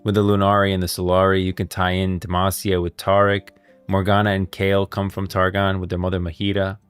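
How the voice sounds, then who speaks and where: A man's voice: sits low, completely flat delivery, fairly quick, clear, resonant tone, no room sound. A man streaming casual commentary, rambling and thinking aloud as he goes. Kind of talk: casual game lore commentary. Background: background music.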